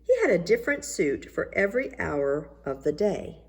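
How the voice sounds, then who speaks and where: A woman reading a children's story aloud in an expressive, measured narrating style. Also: lowish voice. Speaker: storybook reader.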